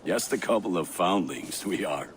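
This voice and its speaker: A man playing a warm-hearted, sentimental Viking blacksmith in a video game, speaking earnestly with halting tenderness. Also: deep voice, expressive, slight background noise. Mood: sincere, humble. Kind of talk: dramatic game dialogue acting.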